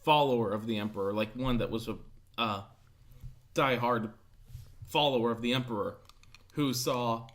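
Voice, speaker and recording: A man chatting as a podcast co-host in a laid-back, hesitant way, often pausing on fillers. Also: mid-range voice. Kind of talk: casual podcast chat.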